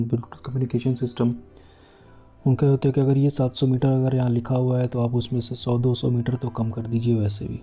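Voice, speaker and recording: A man giving casual unboxing commentary as a product vlogger, speaking haltingly in short broken phrases. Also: mid-range voice, warm tone. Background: background music.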